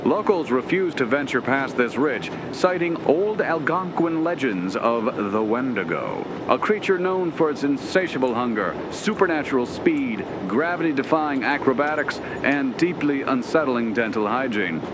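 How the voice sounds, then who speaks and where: Radio spoof role: man as showy on-scene reporter, mid-pitched, folksy, punchy, amid noisy outdoor-style ambience. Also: expressive, moderate pace, lightly acted. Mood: breezy, showy. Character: breezy, folksy male field news reporter. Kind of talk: parody live news report.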